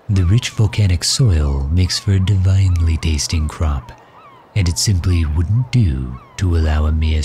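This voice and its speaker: Casting: suave, protective male villain for ASMR roleplay played by a man, very low voice, courtly and gentle, outdoor bird ambience behind. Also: a little hiss or hum, heavily acted. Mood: proud, reassuring. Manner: gentle, courtly, measured and formal. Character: suave, protective male villain doting on his captive guest.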